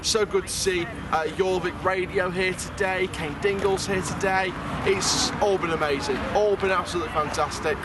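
A man's voice: high voice, fairly even intonation, moderate pace, hardly any pauses, very noisy. A young man, reviewing a Halloween event in a chatty, upbeat vlog at night outdoors. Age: young adult. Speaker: theme park vlogger. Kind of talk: casual vlog review and interviews. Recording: loud crowd and music outdoors. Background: loud music and crowd.

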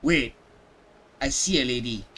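A man's voice: medium pitch, measured pace, sharp tone, slightly rough. A man giving casual live reactions as he streams a video game. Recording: faint game music behind commentary.

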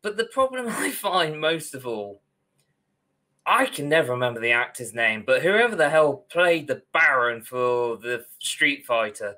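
Bright, forceful young man, wryly opinionated. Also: fairly high pitch. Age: young adult. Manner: wry, opinionated ranting. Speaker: podcast co-host. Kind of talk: casual film critique. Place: small room at home, on video call.

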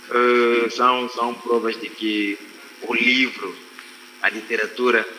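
A Mozambican man with a low, very bright voice speaks as a guest on a literary panel. He builds his points deliberately, repeating and rephrasing as he goes. The video-call sound is reverberant and noisy. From Quelimane, Mozambique. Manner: deliberate, repeats and rephrases phrases, often adds "né" as a tag. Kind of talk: panel discussion, extempore opinion.

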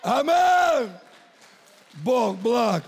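Brief: man, pastor, slow emphatic sermon, husky high voice, big church hall reverb. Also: sing-song. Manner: slow, emphatic proclaiming, leading the crowd in chanted lines. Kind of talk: sermon with congregational declarations. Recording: echoey church hall livestream.

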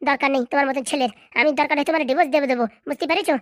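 Stubborn young newlywed played by a woman, high-pitched, extremely fast, complaining, comic cartoon. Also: fairly even intonation, no echo, studio quality, lightly acted. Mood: angry, accusing. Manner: argumentative, emphatic, complaining. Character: aggrieved, stubborn young newlywed wife, spoiled daughter. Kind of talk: comic cartoon drama dialogue.

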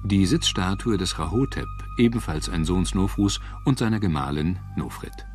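A man reading scripted documentary narration in a measured, factual manner. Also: sits low, animated, faint music behind.

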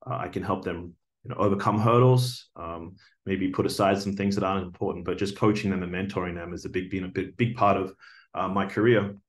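A man's voice: sits low, steady tone, a little fast. A man in his forties with an Australian accent, earnestly introducing himself for a teaching job, with many fillers and restarts, recorded on a webcam indoors. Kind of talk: video job application talk. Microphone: webcam video recording.

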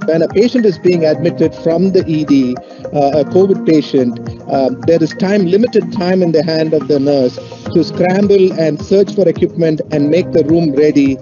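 A man's voice: a little high, normal speed. A man giving a spoken testimonial in a video. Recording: dull audio under clear music. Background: music.

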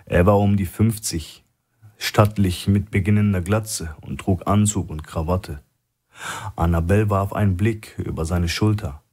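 A man with a husky voice reading scripted thriller narration as an audiobook narrator. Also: deep voice, fairly even intonation, a little slow, a little mellow.

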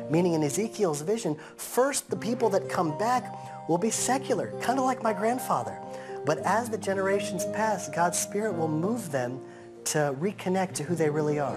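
Earnest man speaking over background music. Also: a little high. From United States. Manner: earnest, measured, slows to stress points. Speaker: interviewee. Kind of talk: earnest sit-down interview. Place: living room set, seated on a couch.

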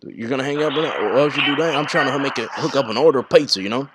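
A man's voice: medium pitch, fast, very noisy. A man with a country American accent rambling casually and slangily on a prank phone call. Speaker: prank caller and video maker.

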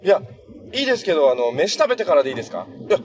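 An actor playing a cheerful, earnest, easygoing young hero in a TV drama, talking in a casual, boyish way. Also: male voice, on the high side, sing-song, very fast, a little mellow. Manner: casual, friendly, boyish phrasing. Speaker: actor in a TV superhero series. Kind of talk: naturalistic TV drama acting.

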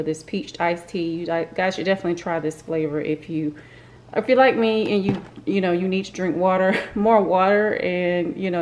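A woman talks through her groceries in a relaxed, rambling way, full of fillers and self-corrections. Her voice sits a little low. It is a home kitchen recording with clear background noise behind her. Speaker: vlogger. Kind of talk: casual grocery haul talk. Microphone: camera pointed at the counter, speaker off-screen.

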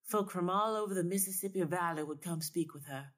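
A woman's voice: lowish voice, a little fast, natural voice. A woman voicing a knowing Southern woman seer in a story, folksy, solemn and assured.

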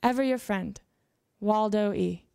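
A young woman giving a measured, formal dramatic reading of letters aloud into a handheld microphone. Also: medium pitch, gravelly, dark tone, clear, resonant tone. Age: young adult. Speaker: dramatic reader at a library event. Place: indoor meeting room with audience.

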